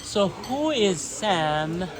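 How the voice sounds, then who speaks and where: A man with a Filipino accent asking interview questions in a polite, halting way with many fillers. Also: sits high, big pitch swings. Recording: crowd chatter behind. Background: crowd chatter.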